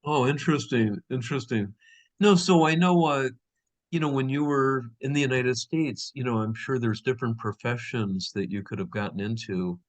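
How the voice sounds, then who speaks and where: A man's voice: medium pitch, normal speed. A middle-aged man hosting a business interview show, talking in a rambling way with lots of fillers. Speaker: host. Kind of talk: interview host chat.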